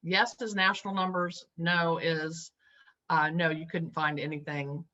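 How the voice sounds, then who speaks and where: A woman hosts an online webinar, briskly running through poll results and handing off to a presenter. Her voice is bright and forceful and sits fairly low, heard through dull, lo-fi audio. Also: fairly even intonation. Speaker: webinar host. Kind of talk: webinar moderation.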